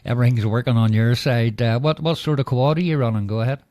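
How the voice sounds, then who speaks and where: A Northern Irish man chatting over the radio as an operator, measured and full of fillers, closing each turn with "go ahead". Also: medium pitch, fairly even intonation, a little mellow, a little hiss or hum. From Northern Ireland. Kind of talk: casual radio chat.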